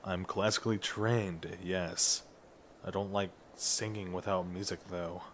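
A man's voice: deep voice, fairly even intonation, measured pace, a little hiss or hum. A man playing a shy, reserved young metalhead student, reluctant and understated, in an ASMR roleplay. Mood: bashful.

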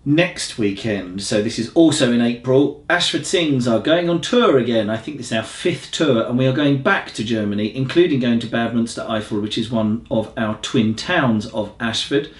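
English male, forties, medium pitch, informal laid-back pastor, announcements to camera, echoey living room. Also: normal speed, few pauses. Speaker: senior pastor co-presenting a church newsletter. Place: small living room, on a sofa.

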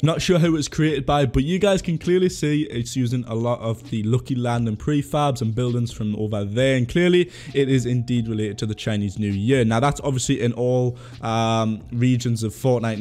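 A man's voice: mid-range voice, a little mellow. A man with a cold hosting a daily gaming news roundup, upbeat and chatty, calling his viewers guys and boys. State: sick with a cold.

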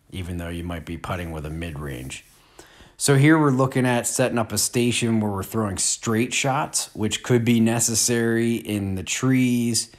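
A man in his forties giving a relaxed, unhurried disc golf lesson with casual fillers. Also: on the low side. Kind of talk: casual instructional voice-over. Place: outdoor field.

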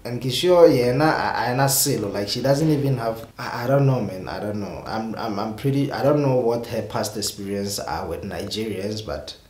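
A man's voice: fairly low pitch, moderate pace, hardly any pauses, a little mellow. Exasperated young man vlogging in a roomy room. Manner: exasperated, rambling with repeated restarts. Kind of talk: casual talk to camera. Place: small indoor room with purple lighting.

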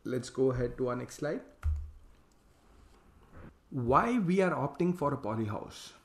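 A man's voice: mid-range voice, animated, unhurried, reverberant. A man giving a slide lecture on farming, reading the slide text aloud and then explaining it in plain words. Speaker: hydroponics farm consultant presenting slides.

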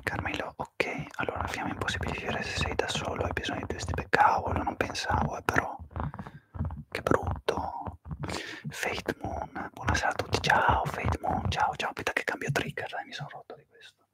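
A man whispering through a live ASMR stream, softly greeting viewers and slowly repeating their names into a close microphone. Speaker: ASMR livestreamer.

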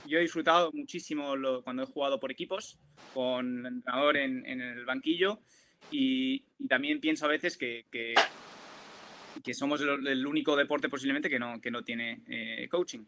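A young man on a laptop video call from a bedroom at home, his voice of middle pitch. He talks haltingly, with many restarts and fillers. A faint hiss of noise sits under the voice. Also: creaky, bright, forceful tone. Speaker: guest tennis player. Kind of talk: video call panel talk.

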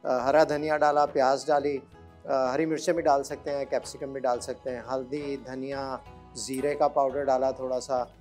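A man's voice: mid-range voice, little pitch movement, moderate pace, darkish tone, soft background music. A man cooking on a TV studio set, explaining a recipe step by step in an easygoing way. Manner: easygoing, explains steps plainly. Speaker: guest cook. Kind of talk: casual cooking demo chat.

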